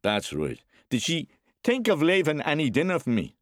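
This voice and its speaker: A man's voice: a little high, wildly swooping pitch, moderate pace, no room sound, clean studio sound, voice pushed up. Man acting a furious, bullying drunken father. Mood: gruff demanding. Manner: bellowing, menacing. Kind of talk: dramatised audiobook character acting.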